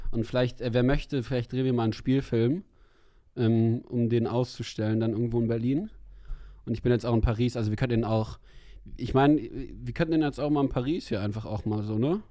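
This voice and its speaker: Mellow-voiced young man rambling casually. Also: medium pitch, fairly even intonation, a little fast, no room sound, clean studio sound. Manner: rambling, many fillers, laughs often. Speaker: podcast co-host. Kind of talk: casual chat. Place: in Paris, remote from co-host.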